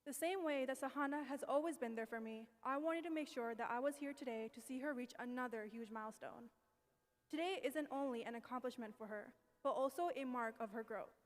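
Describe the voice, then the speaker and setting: A young woman reading a steady, affectionate tribute speech about a school friend. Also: a little high. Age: young adult. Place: event hall. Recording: long hall reverb.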